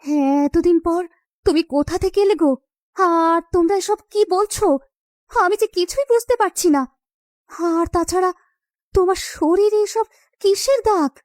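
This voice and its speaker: A woman's voice: very high-pitched, a little slow, darkish tone, no room sound, clean studio sound. A woman voicing an easily shocked middle-aged village woman in a melodramatic cartoon, exclaiming and repeating her words. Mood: bewildered.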